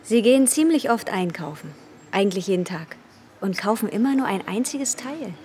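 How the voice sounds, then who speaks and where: An actress playing a direct, inquisitive young waitress in a natural-sounding German radio drama. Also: female voice, mid-range voice, lively intonation, normal speed, dark tone, lightly acted. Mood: chatty, curious. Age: young adult.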